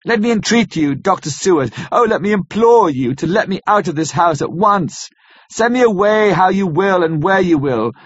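A man's voice: high-pitched, expressive, moderate pace, no echo, pitched above the performer's own voice. A man voicing a desperate male asylum inmate who begs passionately to be released. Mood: desperate pleading. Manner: pleading, impassioned, imploring. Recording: dry muffled lo-fi.

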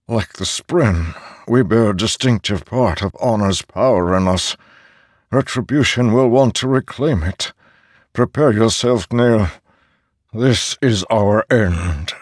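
A man voicing an aged, weary immortal herald in an audiobook, with a solemn, deliberate and strained delivery. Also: lowish voice, no room sound, clean studio sound, pitched above the performer's own voice. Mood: tired, defeated.

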